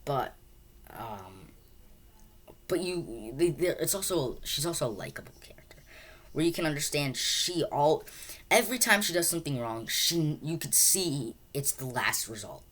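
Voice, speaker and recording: Female film reviewer, extremely low pitch, casual and unscripted, halting delivery full of repeated words. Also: animated, a bit bright, husky. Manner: halting, rambling, repeats words and restarts sentences often.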